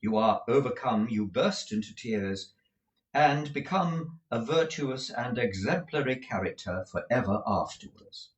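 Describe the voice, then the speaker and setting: A man's voice: medium pitch, lively intonation, a little slow, voice pushed up, lightly acted. A man voicing a polite but harried young male dramatist. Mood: explaining. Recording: roomy echo.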